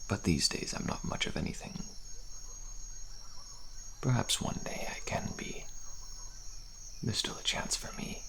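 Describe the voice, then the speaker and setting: A very deep, heavily creaking old-world soldier played by a man, a humble deserter who is grave and weary. He speaks very slowly in a breathy half-whisper, with formal phrasing fit for a fantasy audio roleplay. Night insects chirp behind him. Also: slight background noise, full character voice. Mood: weary, advising. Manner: slow, measured, archaic formal phrasing. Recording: steady background night insects, crickets.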